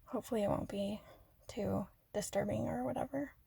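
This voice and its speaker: A woman from Arizona chatting softly and rambling in a half-whispered ASMR style, joking about herself. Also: medium pitch, fairly even intonation, brisk, vocal fry, breathy edge, no echo. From Arizona, USA. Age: adult. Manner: soft, half-whispered, self-deprecating, giggly. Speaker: ASMR creator. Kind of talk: soft-spoken rambling ASMR chat.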